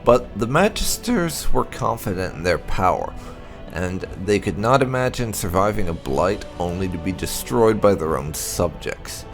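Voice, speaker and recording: A man reads game lore aloud in a low, gravelly voice with plenty of fry. His delivery is measured and storyteller-like, rising and falling in a lively way with emphatic phrasing. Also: faint music behind. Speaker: gaming channel host. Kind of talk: reading game lore aloud as scripted narration.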